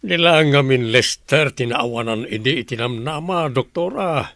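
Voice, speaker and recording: A man playing a cheeky, idle adult grandson in a radio comedy drama, expressive and blunt. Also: medium pitch, moderate pace, dry recording. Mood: polite.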